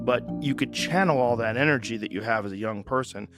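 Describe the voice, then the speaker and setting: A man's voice: medium pitch, brisk, fairly bright tone. A man talking casually and frankly, with some swearing. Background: music.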